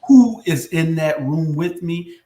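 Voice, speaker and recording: A man in his sixties hosting a casual live-stream panel chat, talking haltingly with many fillers and restarts. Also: a little high, dark tone, echoey room. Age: 60s.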